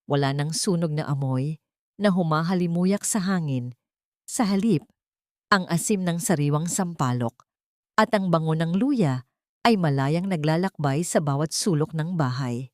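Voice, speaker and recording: Low-pitched woman narrating a dramatic story. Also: expressive, measured pace, a little mellow, no room sound, clean studio sound.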